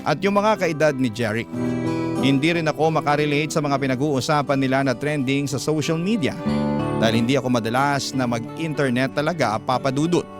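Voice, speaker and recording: Man narrating over loud guitar music. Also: mid-range voice. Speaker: radio show narrator reading a listener's story. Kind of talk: emotional scripted radio narration.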